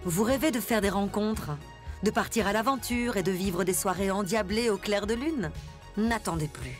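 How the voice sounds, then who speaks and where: An actress playing an enticing announcer in a parody radio advert. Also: female voice, medium pitch, normal speed, natural voice. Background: music bed.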